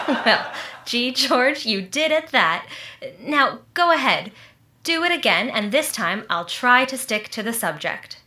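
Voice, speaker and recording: Bright, animated radio-comedy friend, acted by a woman. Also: on the high side, slow pace, full character voice. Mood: playful, obliging. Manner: confident, emphatic, cheerfully insistent. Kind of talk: re-enacted 1940s radio comedy and advert acting. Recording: roomy, echoing voice recording.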